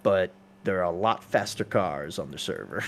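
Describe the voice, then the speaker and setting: A man's voice: deep voice, normal speed, creaky, fairly bright tone, slight background noise. A man chatting casually during a game, explaining things emphatically and repeating himself for clarity. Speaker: roleplay server police lead. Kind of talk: casual chat while gaming.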